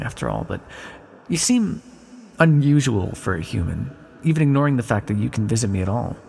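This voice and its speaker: A man voicing an ancient imprisoned villain in an ASMR roleplay, sly, lonely and tenderly flirtatious, speaking in an intimate, teasing, musing way with dramatic pauses. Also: mid-range voice, vocal fry, darkish tone, slightly rough, full character voice. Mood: curious. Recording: long reverberant echo.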